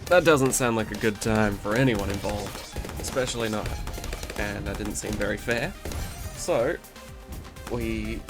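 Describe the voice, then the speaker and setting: A man's voice: on the low side, a little slow, music in the background. A man hosting a gaming video, talking in a rambling, laid-back way with a nasal, congested voice from a cold he is getting over. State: recovering from a cold, stuffy nose. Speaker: gaming channel host. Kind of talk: casual commentary over gameplay.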